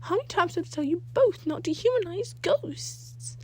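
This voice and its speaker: A woman's voice: very high voice, animated, normal speed, pitched above the performer's own voice. A woman voicing a worried, hot-tempered mother in a story, insistent and scolding. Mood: frustrated, scolding.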